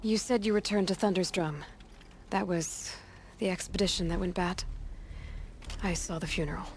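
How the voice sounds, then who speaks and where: Blunt young huntress voiced by a woman, medium-low pitch. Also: steady tone, normal speed, slight background noise, natural voice. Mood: probing, determined. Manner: plain-spoken, dry, direct. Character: young, blunt, determined outsider huntress, wryly sceptical. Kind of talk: video game cutscene acting.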